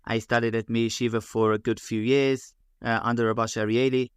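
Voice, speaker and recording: A middle-aged man from London with a London English accent, speaking as a guest rabbi in a podcast interview, measured and full of fillers and restarts. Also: medium pitch, flat delivery, clean tone, no room sound, studio quality. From England.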